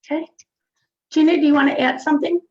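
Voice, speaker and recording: High-voiced woman moderating a panel. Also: fairly even intonation, quick pace, lo-fi sound.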